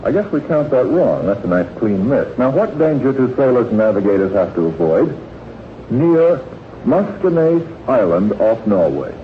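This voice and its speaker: A man's voice: fairly low pitch, very animated, normal speed. A man hosting a live 1940s radio quiz, teasing and bantering with the panel between questions. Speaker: quiz show host. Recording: tinny, noisy 1940s radio broadcast.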